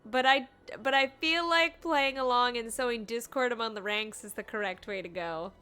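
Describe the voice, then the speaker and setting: A woman's voice: fairly high pitch, moderate pace, bright, forceful tone, smooth. A woman game streamer giving casual live commentary, musing aloud as she plays. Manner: musing aloud, reacting in short interjections.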